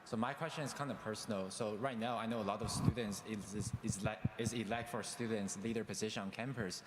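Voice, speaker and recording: A man's voice: on the low side, fairly even intonation, quick pace. A young man from China, a master's student, asking a question in hesitant, non-fluent English. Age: young adult. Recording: echoey hall with loud background noise.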